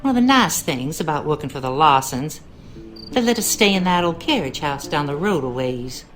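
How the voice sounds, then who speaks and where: A folksy cleaning woman in an adventure game, with a notably low voice. She gossips in a confiding, down-to-earth way over background music. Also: lively intonation, moderate pace. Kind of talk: game character monologue.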